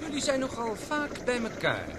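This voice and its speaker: A man's voice: very high-pitched, huge pitch swings, moderate pace, exaggerated voice. An actor playing a jealous young man who teases and provokes his rival in a radio play. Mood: needling. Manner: teasing, provocative. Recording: boat engine noise.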